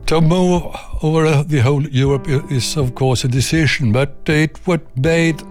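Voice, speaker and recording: An older man from Sweden with a Swedish accent, chatting calmly at home as an interviewee and pausing to find his English words. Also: on the high side, faint music behind. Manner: calm, plain-spoken, pauses to find English words. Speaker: interviewee, retired army officer. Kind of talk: casual chat at home. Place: indoors, home library.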